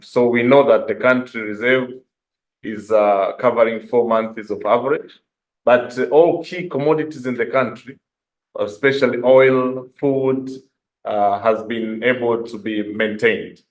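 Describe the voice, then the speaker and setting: A man speaks at an average pitch. He answers formally and explains at length, like a senior banker on a corporate conference call. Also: low-quality recording. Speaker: bank head of asset and liability management.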